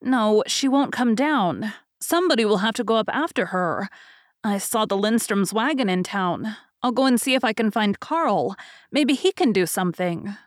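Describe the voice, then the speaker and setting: A woman's voice: medium pitch, animated, normal speed, no echo, studio quality, close to an everyday voice. A woman voicing a determined, earnest young farm woman in a storybook reading, lifting her voice for the part. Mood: decisive.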